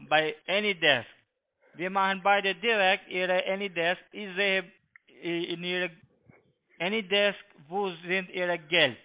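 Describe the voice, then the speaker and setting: A man with a foreign accent, not a native speaker, making a halting, repetitive phone pitch over a narrow tinny line. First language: not German. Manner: halting broken German, repetitive. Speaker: scam call-centre agent. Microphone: telephone.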